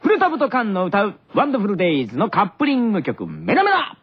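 A man with a high, swinging voice chats as a radio co-host. He teases and exclaims freely in loose comic banter. The recording is a little dull and band-limited. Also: fast, breathy edge. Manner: animated, exclamatory, teasing. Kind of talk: casual comedic banter between co-hosts.

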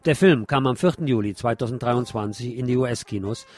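A man's voice: mid-range voice, a little fast. A man reading scripted film commentary as host of an alternative radio programme.

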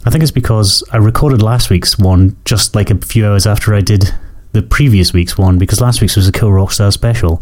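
A man hosting his own podcast, hoarse and croaky from a cold, chatting in a rambling way that keeps correcting itself. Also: on the low side, normal speed, few pauses, a little mellow. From Scotland. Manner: rambling, self-correcting, apologetic. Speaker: podcast host. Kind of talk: casual solo podcast chat.

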